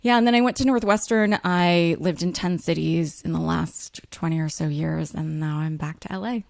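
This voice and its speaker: A woman, talking candidly as an interview guest, frank and self-deprecating with lots of fillers and quick laughs. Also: fairly low pitch, gravelly, a little mellow, no room sound, clean studio sound. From United States. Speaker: author and guest.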